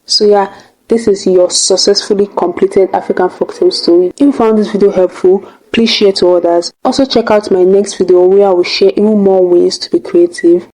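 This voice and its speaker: A woman's voice: mid-range voice, steady tone, fairly quick, darkish tone, rough recording. A woman hosting a tutorial, narrating step by step in a casual way. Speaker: tutorial host.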